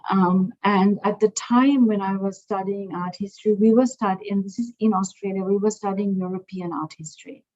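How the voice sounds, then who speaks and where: A middle-aged woman from Sri Lanka answers interview questions as a museum director, in a voice of middling pitch. She speaks thoughtfully, pausing and restarting as she searches for the right words. The recording is dull and lo-fi. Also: fairly even intonation, darkish tone. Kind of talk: interview conversation. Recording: dull lo-fi sound.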